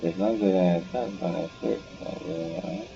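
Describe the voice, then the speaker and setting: A man's voice: deep voice, unhurried, gravelly, lo-fi sound, background noise. A man giving casual playthrough commentary as a gaming host, muttering and thinking aloud. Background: game music.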